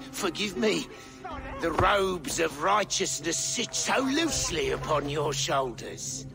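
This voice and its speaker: A gravelly deposed old king. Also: a little high, lively intonation, slow pace, over music, heavily acted. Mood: mocking. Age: older. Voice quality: gravelly, theatrical. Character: deposed proud old male king, mocking then begging. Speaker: game character.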